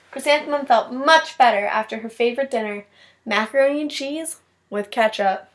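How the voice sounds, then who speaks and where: A woman teacher reading a picture book aloud expressively, with casual asides to her class. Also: on the high side.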